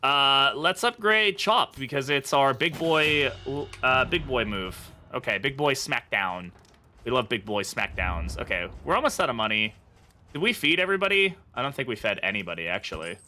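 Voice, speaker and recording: A man's voice: mid-range voice, expressive, moderate pace, bright, forceful tone, slight background noise. A man with an American accent giving casual, chatty live game commentary, joking and muttering to himself as he talks to chat. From born in England, grew up in the United States. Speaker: game streamer.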